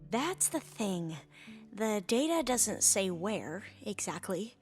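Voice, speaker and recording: A hesitant, bookish young scholar. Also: female voice, mid-range voice, big pitch swings, husky, faint music behind, exaggerated voice. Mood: earnest, explanatory. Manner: hesitant, halting, with formal learned wording. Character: nervous, earnest, bookish young woman scholar of a tribe. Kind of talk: video game cutscene dialogue.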